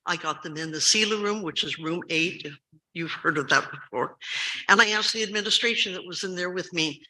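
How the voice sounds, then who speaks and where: A woman in her seventies giving an urgent public comment at a school board meeting. She tells a story emphatically, repeats key words for stress, and has a loud, carrying voice. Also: fairly low pitch, poor audio. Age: 70s.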